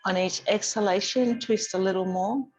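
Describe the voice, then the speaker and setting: A woman leading a gentle yoga class as the teacher, guiding with a calm, soothing, gently instructing manner. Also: medium pitch, moderate pace, breathy edge, soft background music, low-quality recording.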